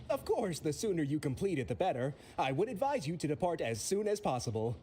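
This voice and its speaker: An actor playing a polite, businesslike agency boss in a video game, formal and urging. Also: male voice, a little high, very animated, a little fast, warm tone, slight background noise. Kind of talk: English video game voice acting.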